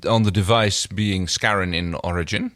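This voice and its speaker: A man's voice: deep voice, fairly even intonation, normal speed, a bit bright, no room sound, studio quality. A man chatting casually as a podcast co-host about a TV show, hesitant and often rephrasing mid-sentence. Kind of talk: casual TV episode discussion.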